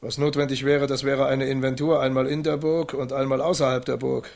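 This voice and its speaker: A pompous lord of a medieval castle, played by a man, musing and bossy. He speaks in a gravelly voice with little melody, in the manner of a radio play. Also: medium pitch, level tone, fairly quick, darkish tone, lightly acted. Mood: peevish, pompous. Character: indecisive castle lord turning bossy, easily discouraged. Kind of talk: German radio drama acting.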